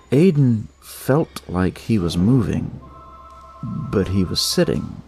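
Slow, expressive male horror narrator. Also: fairly low pitch, a little hiss or hum. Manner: deliberate, suspenseful, short phrases. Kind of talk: dramatic horror audiobook narration.

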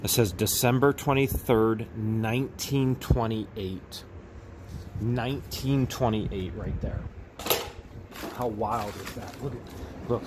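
A man's voice: on the low side, slow, background noise. A man hosting a casual unboxing vlog, exclaiming and repeating himself as he talks directly to viewers. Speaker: vlog host.

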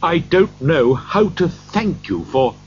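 A storyteller playing a kindly, courteous old gentleman who is astonished and grateful, in a storybook reading. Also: medium pitch, sing-song, slow, muffled recording, a little hiss or hum, pitched above the performer's own voice. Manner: polite, astonished.

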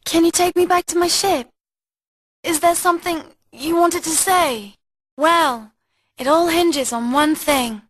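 Young female fox heroine, video game, very high girlish voice, slow delivery, earnest, dramatic. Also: fairly bright tone, no echo, clean studio sound. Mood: tender, coy.

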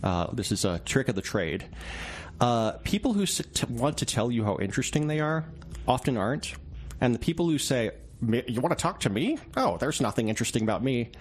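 A man's voice: medium pitch, lively intonation, fairly quick. A man speaking as a reporter being interviewed, reflective and conversational, explaining himself through small anecdotes and examples. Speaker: newspaper reporter, interview guest. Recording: clear background noise and ambience.

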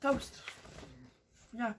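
A woman's voice: very slow, noisy background. Chatty woman rambling over morning coffee. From Canada. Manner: rambling, chatty, many fillers and restarts. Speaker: vlogger. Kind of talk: casual morning coffee chat. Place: small home room indoors.

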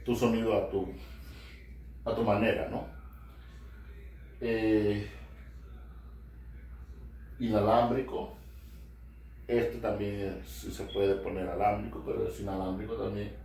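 Middle-aged man, unhurried upbeat home product demo, handheld mic with lots of echo, picked up by a distant camera. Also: fairly low pitch. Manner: relaxed, chatty, upbeat. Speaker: product reviewer. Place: small home living room, indoors. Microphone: handheld karaoke mic through speaker, filmed by far camera. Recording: echoey karaoke speaker, far camera.

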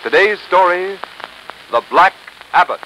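A man's voice: high-pitched, lively intonation, a little slow, sharp tone. A man announcing in dramatic, emphatic 1930s radio style. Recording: noisy 1930s radio recording.

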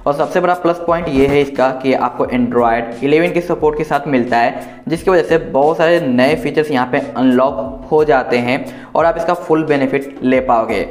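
A man's voice: a little high, moderate pace. A man presenting an informal tech review in an explanatory, reassuring way. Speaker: tech reviewer, video host. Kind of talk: informal phone software review narration. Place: indoors, echoey room. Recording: roomy indoor echo.

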